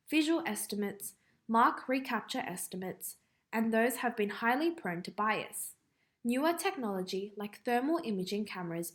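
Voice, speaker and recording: Australian woman reading aloud. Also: fairly high pitch. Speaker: reader of English test practice passages. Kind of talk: scripted read-aloud.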